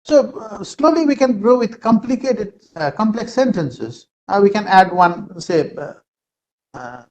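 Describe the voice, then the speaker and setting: A man with an Indian English accent, a native Nepali speaker, giving an online lecture in a halting way with many fillers, over a video call. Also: sits high, lively intonation, darkish tone, dull audio.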